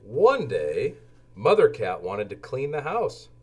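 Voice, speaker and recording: A man narrating a children's storybook aloud in a deliberate, expressive way made for young listeners. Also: mid-range voice, husky.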